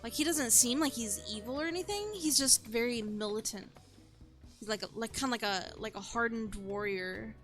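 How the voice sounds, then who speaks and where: A woman's voice: fairly high pitch, fairly bright tone. A woman streamer giving casual gameplay commentary, rambling with lots of fillers and restarts. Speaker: vtuber streamer.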